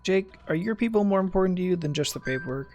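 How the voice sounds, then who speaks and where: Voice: medium pitch, expressive, quick pace, darkish tone, faint music behind. A gamer chatting casually during play, with playful, incredulous questions. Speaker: streamer playing a video game. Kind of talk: casual gaming chat.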